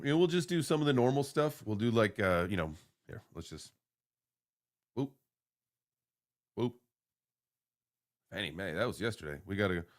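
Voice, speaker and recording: An American man in his early forties hosting a livestream with casual, rambling, informal and sometimes sweary chat. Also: lowish voice. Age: early 40s. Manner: laid-back, informal, sweary. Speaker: livestream show host.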